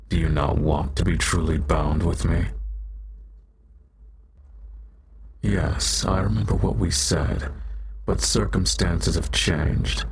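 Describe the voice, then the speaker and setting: Casting: male actor for a powerful bound demon, extremely low gravelly voice, possessive and devoted, intimate audio roleplay. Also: background noise. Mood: insistent, jealous. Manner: slow, deliberate, formal, ardent phrasing.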